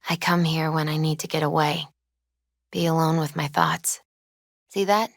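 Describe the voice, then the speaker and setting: A gentle young woman who reads tarot, speaking in a lowish, soft-spoken voice. She is measured and earnest, with a mystical, foreboding weight to her delivery. The sound is very dry, as in game dialogue. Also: steady tone, dry recording. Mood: casual, caring. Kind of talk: video game character dialogue.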